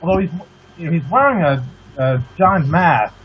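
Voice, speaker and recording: A man's voice: on the high side, animated, unhurried. Man on phone-like voice chat. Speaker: co-op player. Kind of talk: casual chat during gaming. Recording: phone-like voice chat with faint noise.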